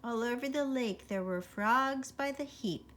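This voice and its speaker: A woman's voice: fairly high pitch, a little slow, a bit bright. A woman reading a rhyming children's bedtime story expressively, with chatty asides to young listeners.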